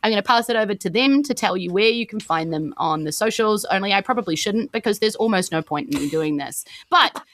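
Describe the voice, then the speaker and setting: A woman's voice: mid-range voice, animated, fairly quick, hardly any pauses, fairly bright tone, dry recording. A woman with a New Zealand accent hosting a gaming podcast, chatting casually and emphatically through announcements and thanks. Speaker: podcast host and game master.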